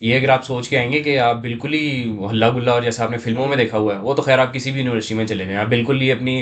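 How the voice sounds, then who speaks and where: Young man, medium pitch. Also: fairly even intonation, rapid-fire. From Saudi Arabia. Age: late teens. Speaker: interview guest, university student. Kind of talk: casual chat mixing Urdu and English.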